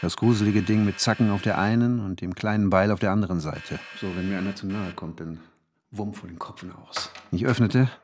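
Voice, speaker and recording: A man's voice: on the low side, darkish tone, a little hiss or hum, close to an everyday voice. An actor playing an anxious, introspective married man who tells his own story in a radio drama. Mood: tense, watchful. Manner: introspective, switches from measured narration to agitated pleading. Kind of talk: radio drama narration and dialogue.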